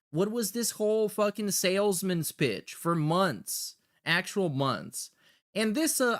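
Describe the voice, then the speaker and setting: A man with a fairly high voice hosts a casual reaction show. He is sarcastic and mocking, and he swears freely as he comments. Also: fairly bright tone. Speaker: podcast host reacting to clips.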